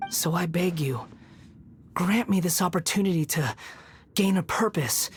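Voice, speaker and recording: A woman's voice: unhurried, slight background noise, natural voice. A woman voicing a brooding young puppet wanderer. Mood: earnest. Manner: low, subdued, earnest. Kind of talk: video game cutscene dialogue.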